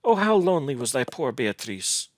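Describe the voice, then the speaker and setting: A man voicing a gentle, lonely, devoted young woman in a gothic storybook, with archaic, plaintive, imploring speech. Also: medium pitch, sing-song, moderate pace, no room sound, studio quality, voice pushed up, lightly acted. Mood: wistful sorrow. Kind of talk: dramatic gothic storybook reading.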